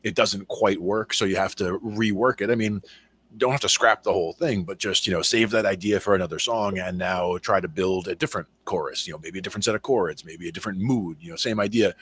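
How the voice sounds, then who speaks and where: A man with a very deep voice, co-hosting a casual chat about songwriting and explaining his ideas at length with many fillers. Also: brisk, fairly bright tone, slightly rough. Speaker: podcast co-host.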